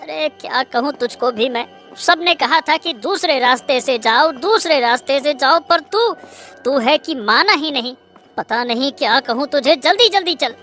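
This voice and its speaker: A woman's voice: high-pitched, animated, normal speed, bright, forceful tone, soft background music. A woman voicing a frightened, stammering village man in a kids' cartoon story. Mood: anxious, scolding. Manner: panicky stammering.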